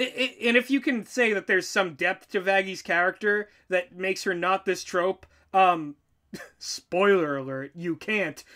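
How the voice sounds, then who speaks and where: A man co-hosting a casual reaction commentary in an animated, exasperated manner. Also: high-pitched. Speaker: co-host, writer reacting to writing tips.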